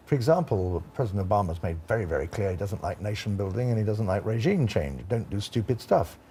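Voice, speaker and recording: A man's voice: lowish voice, very animated, fast, mellow, slight background noise. An older British man giving expert commentary on a news panel, with wry, blunt, colloquial asides. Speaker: former ambassador, studio guest.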